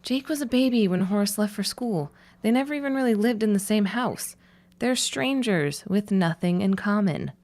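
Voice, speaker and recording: A woman's voice: medium pitch, lively intonation, moderate pace, a little mellow, lightly acted. An actress playing a wry, realistic young woman in a period audio drama, dry and blunt, weary of family hopes. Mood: defensive.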